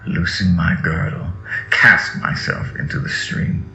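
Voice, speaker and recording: Male reader, solemn and incantatory, very low and unhurried, echoey recording with music behind. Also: flat delivery, creaky, pushed and bright, slight background noise. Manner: slow, incantatory, dramatic. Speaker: reader of sacred texts. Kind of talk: solemn scripted reading of mystical scripture. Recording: echoey, dull audio under music.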